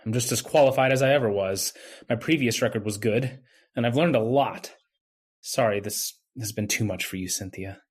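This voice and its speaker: A man's voice: fairly low pitch, moderate pace, breathy edge. A man playing a wronged young medical intern, bitter and brooding, in old-time radio drama style. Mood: resigned, wounded.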